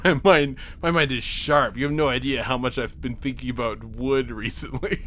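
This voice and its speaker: A man co-hosting a podcast, chatting casually and profanely in an animated, jokey way, with a slight lingering cough. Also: mid-range voice, normal speed, slight background noise. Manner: animated, jokey, acts out mock quotes, laughs often. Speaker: podcast co-host. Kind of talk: casual, profane episode recap chat.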